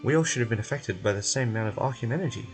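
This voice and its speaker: Guy doing a blunt fussy scientist from a game, formal and clinical, kinda deep, music behind. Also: rapid-fire, natural voice. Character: stern, blunt, meticulous research institute scientist.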